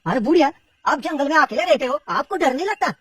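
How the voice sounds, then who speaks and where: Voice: high voice, animated, fast, a bit bright, no echo, recorded from a distance, pitched above the performer's own voice. A performer voicing a sly, coaxing male woodcutter who boasts as a trickster in a storybook horror tale. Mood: probing, coaxing. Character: sly male woodcutter posing as a child-eating sorcerer.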